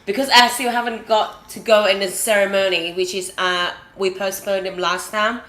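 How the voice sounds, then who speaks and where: A woman's voice: mid-range voice, fairly even intonation, moderate pace, a bit bright. A woman from Thailand, joking and chatting as co-host of a livestream. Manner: playful, joking, laughs a lot. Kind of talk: casual livestream chat. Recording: echoey room.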